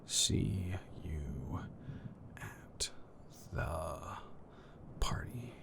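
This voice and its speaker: Rambling, low-voiced young man next door, acted by a man. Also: creaky, exaggerated voice. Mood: whispered, playful. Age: young adult. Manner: rambling, halting, self-correcting, awkwardly casual. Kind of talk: improvised romantic roleplay audio, casual chat.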